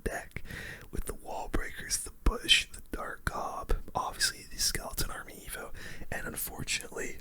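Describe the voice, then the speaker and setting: A streamer giving gaming commentary in a hushed, unvoiced ASMR whisper, breathy and close to the microphone, with informal, slangy phrasing. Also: moderate pace.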